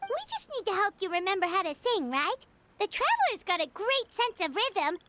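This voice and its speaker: A woman's voice: very high-pitched, big pitch swings, moderate pace, full character voice. A woman voicing a small, childlike fairy companion in a video game, chirpy and encouraging. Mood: perky, encouraging. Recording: loud game music behind.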